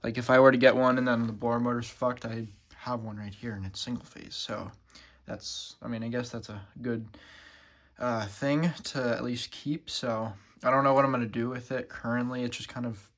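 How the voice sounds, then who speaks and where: A man's voice: mid-range voice, fairly even intonation, moderate pace, a bit bright. Sick young man rambling casually. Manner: rambling, many ums and uhs, loose and casual. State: sore throat, feeling sick. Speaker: siren collector vlogger. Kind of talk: casual show-and-tell vlog.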